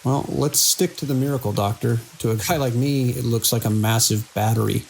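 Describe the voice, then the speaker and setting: A weary male security chief on night watch, played by an actor, with a gravelly voice and dry, measured delivery. It is audio drama, with a light layer of hiss and rain-like noise over it. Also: mid-range voice, lightly acted, rough recording. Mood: curious. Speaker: security lead. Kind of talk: audio drama, logs and radio dialogue.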